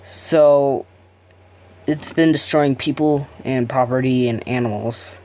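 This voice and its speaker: A man playing a fumbling amateur news reporter, halting and self-conscious as he improvises his report and stumbles over words. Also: mid-range voice, steady tone, darkish tone. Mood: halting, earnest. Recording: noisy homemade recording.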